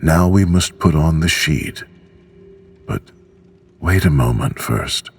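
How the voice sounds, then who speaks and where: Man as terse old grandfather, deep gravelly voice, few words. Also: animated, exaggerated voice. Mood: calm. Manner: short, low, unhurried replies.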